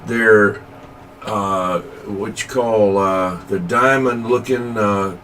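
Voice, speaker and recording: Low-voiced man on a distant CB radio. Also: fairly even intonation, a bit bright. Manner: folksy, halting, searching for words. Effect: CB radio transmission. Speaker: CB radio operator. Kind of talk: casual chat over CB radio. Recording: distant, slightly noisy CB radio transmission.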